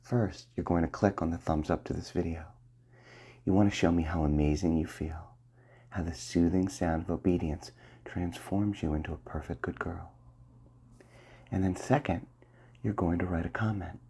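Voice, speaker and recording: A man giving a slow, soft and commanding hypnosis roleplay in the ASMR style, often dropping to a half-whisper. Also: sits low, fairly even intonation.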